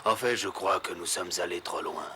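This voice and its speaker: A man's voice: low voice, moderate pace. An actor playing a sober, remorseful male animal in a cartoon, grave and reflective.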